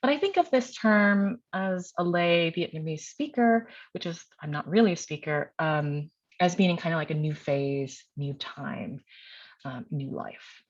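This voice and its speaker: A woman's voice: fairly low pitch, unhurried. A middle-aged woman poet and teacher giving a reading of her work with informal, self-deprecating remarks. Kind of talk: poetry reading with casual introductory remarks. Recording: dull, lo-fi sound.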